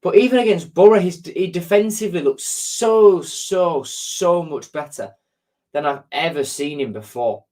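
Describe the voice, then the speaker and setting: A man's voice: a little high, lively intonation, unhurried, a little mellow. A man hosts a live stream and chats easily with his viewers, reading out their comments and answering them. His voice sounds congested, with a sore throat and a blocked nose. He rambles along, filling gaps with ums and repeating words.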